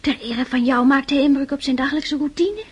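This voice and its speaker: An actress playing a devoted, slightly nervous princess in a radio drama, with a breathy, husky voice. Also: female voice, sits high, a little fast, darkish tone, lightly acted. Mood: fond, nervous.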